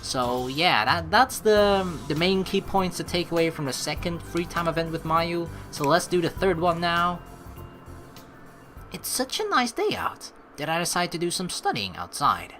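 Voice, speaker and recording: A woman's voice: on the low side, sing-song, moderate pace, fairly bright tone, faint music behind. A young adult woman giving casual let's play commentary in a rambling, conversational way. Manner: rambling, conversational, peppered with "you know". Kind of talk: casual game commentary mixed with reading dialogue aloud.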